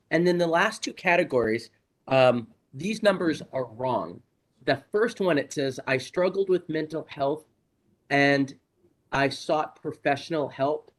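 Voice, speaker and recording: Hoarse man giving a formal report. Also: fairly high pitch. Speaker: college administrator giving a report. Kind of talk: formal presentation at a board meeting.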